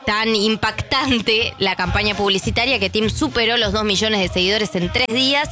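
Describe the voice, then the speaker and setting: A woman with an Argentine accent co-hosting a radio talk show, presenting news items in a brisk, chatty way. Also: medium pitch, pushed and bright, faint music behind. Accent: Argentine Spanish. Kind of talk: casual news chat.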